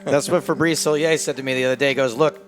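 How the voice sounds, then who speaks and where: A man's voice: mid-range voice, steady tone, fast talker. A man commentating live on a poker match, mixing play-by-play with joking, wisecracking banter. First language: English. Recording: broadcast with music playing behind. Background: music.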